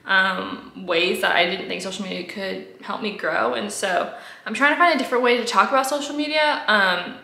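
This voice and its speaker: A woman's voice: medium pitch, moderate pace, creaky, sharp tone, echoey room. A young woman, a food photographer, talking casually and candidly to the camera at home, with lots of ums and likes. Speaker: food photographer and content creator. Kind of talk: casual vlog talk to camera. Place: home room, indoors.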